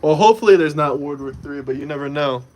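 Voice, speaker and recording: A young American man from Florida, talking off the cuff on a livestream. His voice sits a little high for a man, and he wanders and repeats himself while answering viewers. He sounds casual and unscripted. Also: fast talker, a little mellow. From Florida, United States. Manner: loose, conversational, repeats himself. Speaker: livestream host. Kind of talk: casual livestream chat, reading viewer comments. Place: indoors in Montenegro.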